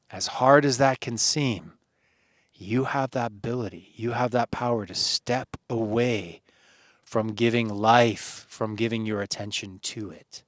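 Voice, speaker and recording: A man leading a guided meditation in a soothing, earnest manner, phrasing deliberately with pauses and gentle encouragement. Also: fairly low pitch, dry recording, studio quality.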